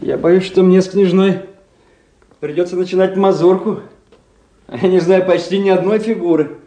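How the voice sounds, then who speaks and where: Mellow, pompous young officer, acted by a man. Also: fairly even intonation, moderate pace, natural voice. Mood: excited. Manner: theatrical, pompous, high-flown. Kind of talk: Russian radio play acting. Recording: roomy echo, faint music behind.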